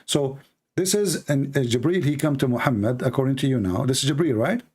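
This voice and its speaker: A man's voice: mid-range voice, lively intonation, brisk, a little mellow, slightly rough. A man whose first language is Arabic, hosting a live debate and pressing a caller with repeated questions. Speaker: host.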